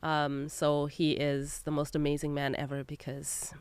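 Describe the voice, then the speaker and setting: A woman's voice: low voice, monotone, unhurried, darkish tone, clean tone, dry recording. A young adult woman from Zimbabwe, a guest in a casual interview, telling stories with frequent laughter. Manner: laughs often, lighthearted storytelling. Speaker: guest, magazine editor.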